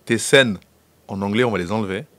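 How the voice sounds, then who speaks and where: A man's voice: lowish voice, brisk, a bit bright, no room sound. A young man from the Central African Republic with a Central African French accent, a film director telling stories as an interview guest, laughing often and tripping over repeated words. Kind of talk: casual interview chat.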